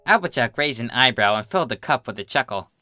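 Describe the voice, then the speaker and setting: A man's voice: medium pitch, expressive, fast talker, loud music behind the voice. A man reading scripted story narration as a fiction narrator.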